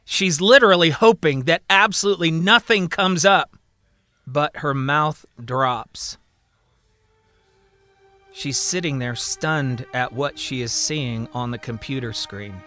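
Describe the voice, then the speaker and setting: Dramatic male storyteller with a bright, intense voice. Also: on the high side, takes it slowly, faint music behind. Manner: theatrical, emphatic, suspenseful delivery. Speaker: storyteller and podcast host. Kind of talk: dramatic scripted story narration.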